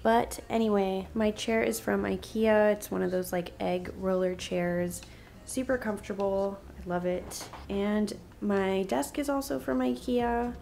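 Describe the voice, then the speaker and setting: A woman's voice: mid-range voice, creaky, mellow. A young woman vlogging casually from her vanity desk at home, sounding nasal from a bad head cold, chatty and enthusiastic. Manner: chatty, enthusiastic, uses fillers like um and like. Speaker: beauty vlogger. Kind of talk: casual product walkthrough.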